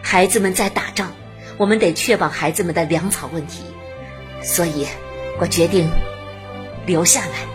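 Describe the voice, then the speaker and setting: An actress playing a warm, dutiful middle-aged canteen worker and mother in a radio drama, chatty and earnest. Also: on the low side, moderate pace, long pauses, music in the background, lightly acted. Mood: earnest, reflective. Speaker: narrator and lead character. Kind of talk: radio drama acting with first-person narration.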